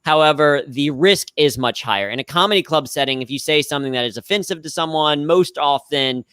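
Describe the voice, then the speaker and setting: Slightly nasal man, smooth and dry. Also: fairly high pitch, brisk, fairly bright tone, no room sound. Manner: upbeat, explanatory, self-deprecating. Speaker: guest, humor trainer. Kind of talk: conversational interview answers.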